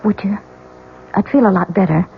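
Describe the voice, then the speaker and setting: An actress playing a desperate, hesitant married woman in a radio drama, earnest and halting, with a pleading manner. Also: medium pitch, gravelly, a little hiss or hum, natural voice. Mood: anxious, determined. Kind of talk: 1970s radio drama acting. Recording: dull lo-fi radio drama, music behind.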